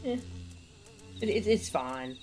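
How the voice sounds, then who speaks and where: A woman's voice: on the low side, very animated, slow pace, a little mellow, slight background noise. A woman chatting casually to viewers as she streams a game, in an easygoing, chatty manner.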